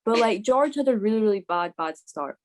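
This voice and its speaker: A woman's voice: mid-range voice, expressive, a little fast, a little hiss or hum. A woman chatting casually as a podcast co-host, very informal, often saying "like". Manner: informal, peppered with "like". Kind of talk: casual race review chat.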